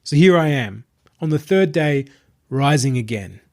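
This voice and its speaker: A male narrator plays a possessed online idol who believes himself a messiah. The voice is lifted high and husky, with a composed, preaching delivery. He speaks soothingly and grandly to his followers, in the manner of an audio short story villain. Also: expressive, unhurried, a little mellow.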